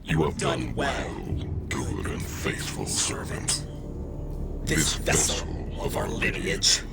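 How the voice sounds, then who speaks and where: Male villain: ancient reborn dark lord, very low and slow, grandiose menace, gravelly whispering, loud music behind. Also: lively intonation, heavily acted. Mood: ominous. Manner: slow, solemn proclamations. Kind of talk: scripted fantasy audio drama acting.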